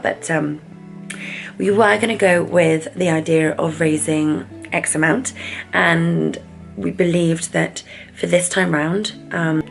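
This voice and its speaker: A woman in her twenties, a startup founder, chatting excitedly in a casual vlog with lots of filler words and a croaky voice. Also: lowish voice. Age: 20s. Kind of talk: casual vlog chat to camera.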